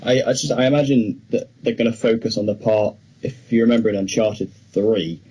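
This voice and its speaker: A man's voice: lowish voice, brisk, mellow, slightly rough, poor audio. A young man co-hosting a podcast, chatting casually and enthusiastically with plenty of opinions. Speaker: podcast co-host. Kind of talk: casual film chat.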